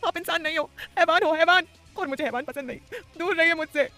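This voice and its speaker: A woman doing a shrill, terrified young woman. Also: racing, faint music behind. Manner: frightened, pleading, crying out.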